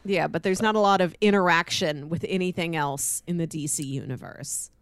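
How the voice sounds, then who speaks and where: A woman's voice: on the low side, moderate pace, smooth, no echo. Casual woman podcaster, close dry sound. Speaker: podcast co-host. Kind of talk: casual comic book discussion.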